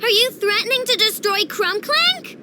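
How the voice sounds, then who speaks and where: A woman voicing an earnest, stubborn young girl inventor in an animated game cutscene, with emphatic, childlike delivery. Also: very high-pitched, moderate pace, heavy background noise. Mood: defiant. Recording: loud game music behind.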